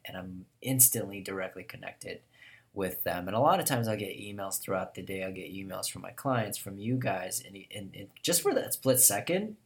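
A young man with a hoarse, deep voice from a cold, hosting a casual chat with upbeat enthusiasm. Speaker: podcast host.

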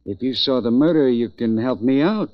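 A man's voice: mid-range voice, animated, normal speed, dry recording, lightly acted. An actor playing a suspicious, threatening gambling crook in a 1940s radio crime drama, terse and full of tough-guy slang. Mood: wary, tough. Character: suspicious, menacing gambling crook holding a gun. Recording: dull, dry old radio recording.

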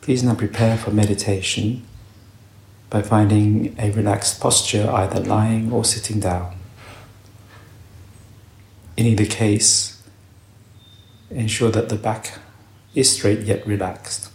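A man leading a guided meditation with calm, gentle, unhurried instructions and long pauses between short phrases. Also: lowish voice, creaky. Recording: roomy echo, faint background noise.

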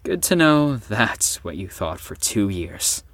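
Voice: medium pitch, big pitch swings, slow, fairly bright tone, no room sound, heavily acted. An actor in an audio drama playing a guarded, sardonic recovering addict with a defensive, dry manner. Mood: defensive, annoyed.

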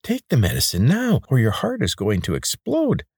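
A man voicing an oily, pudgy male healer with a nasal, squeaky, wheedling voice. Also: wildly swooping pitch, moderate pace, darkish tone, breathy edge, dry recording, clean studio sound, full character voice.

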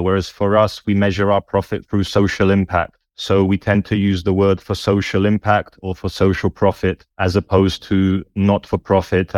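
Brief: thirties male guest, low steady delivery, measured and reflective, dry close sound. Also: no echo. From born in former Yugoslavia, raised in the UK. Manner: measured, reflective, with some fillers. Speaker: podcast guest, nonprofit founder. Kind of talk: conversational interview answers.

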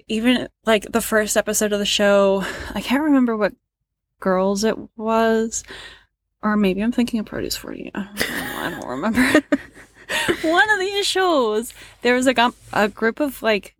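A woman's voice: medium pitch, no room sound. A woman chatting casually about a competition show, rambling with lots of fillers and frustrated sighs. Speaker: co-host reacting to a competition show. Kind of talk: casual reaction chat.

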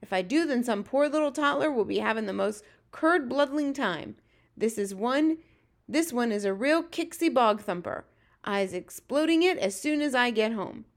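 An excitable giant from a children's storybook, acted out by a woman. The voice is pitched high and full of drama. He exclaims in tumbling, ungrammatical folksy talk. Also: moderate pace. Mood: exasperated, dramatic. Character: excitable big-eared male giant, dream catcher, dramatic.

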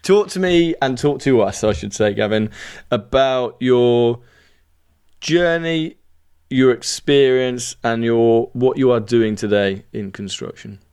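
Male host, Brummie accent, mid-pitched and crisp, chatty and informal podcast delivery. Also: slow pace, a little mellow. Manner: informal, self-deprecating.

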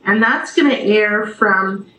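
A woman's voice: medium pitch, slow pace, bright, forceful tone. A Canadian woman answering interview questions conversationally and enthusiastically over a video call, as a theatre director and radio guest. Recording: roomy video call, faint noise.

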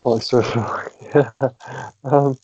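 A man's voice: mid-range voice, steady tone, slow, a little mellow, breathy edge, no room sound, highs cut off. A man co-hosting a casual podcast, talking hesitantly with many ums, recorded on an old phone headset. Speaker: podcast co-host.

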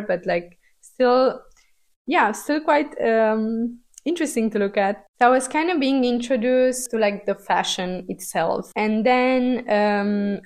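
A young woman from Romania hosts a casual, personal podcast monologue, thinking aloud in a conversational way as a Romanian speaker. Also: medium pitch. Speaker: podcast host.

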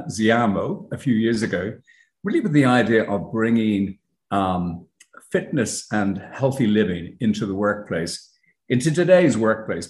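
An Irish man, a company founder, explaining his business in a conversational interview with frequent ums and restarts. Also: on the low side. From Ireland. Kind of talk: casual interview talk. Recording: roomy echo.

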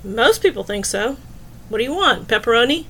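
Wry young female bartender, voiced by a woman narrator: knowing, teasing, matter-of-fact, fairly high voice, audiobook dialogue. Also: sing-song, normal speed, pushed and bright, slight background noise, poor audio. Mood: amused.

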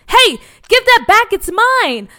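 A woman voicing a frightened but honest young boy who pleads in a high, strained voice. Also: very animated, takes it slowly, pushed and bright, dry recording, studio quality, exaggerated voice. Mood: indignant.

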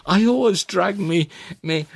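A man's voice: high-pitched, unhurried, vocal fry, husky, no echo, exaggerated voice. A man playing an accused poet in a theatrical interrogation, agitated, defiant and faltering as he protests. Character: accused poet, panicky, defiant, whiny suspect. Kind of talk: theatrical performance-poetry interrogation dialogue.

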